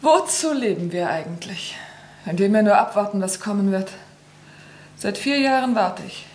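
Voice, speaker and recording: An actress playing a restless young wife worn out by years of waiting, tense and despairing, in a radio play. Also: female voice, medium pitch, expressive, moderate pace, a little mellow, echoey room, close to an everyday voice.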